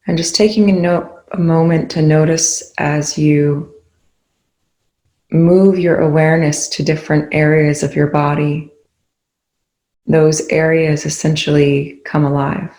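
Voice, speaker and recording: A meditation instructor speaking in a soothing, unhurried way with long pauses, guiding a body awareness practice. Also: medium pitch, flat delivery, a little mellow. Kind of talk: guided meditation. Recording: echoey room.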